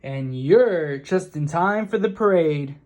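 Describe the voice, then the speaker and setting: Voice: mid-range voice, lively intonation, takes it slowly, darkish tone. A reader voicing a friendly man in a children's storybook who greets and guides travelers heartily.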